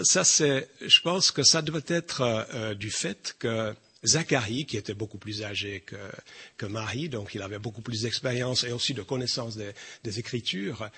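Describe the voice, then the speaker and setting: A man's voice: mid-range voice, sharp tone, breathy edge. A man thinking aloud as he shares an informal reflection at church. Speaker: church member sharing a reflection.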